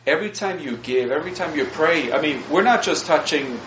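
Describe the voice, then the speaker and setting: A man's voice: a little high, animated, fairly quick, a little hiss or hum. A man with a light Swiss accent walks a church audience through his mission slides. He talks earnestly and often tags his points with "right?" The sound is roomy and a little muffled, as if recorded in a church hall. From Switzerland. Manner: earnest, warm asides, frequent "you know" and "right?". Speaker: missionary speaker. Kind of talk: presenting slides to a congregation.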